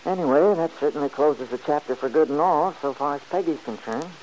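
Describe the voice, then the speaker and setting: A man's voice: fairly high pitch, brisk, a little mellow, lightly acted, rough recording. An actor playing a stubborn, good-hearted, folksy man who grumbles plainly in an old-time radio serial. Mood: skeptical. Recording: hissy old radio recording.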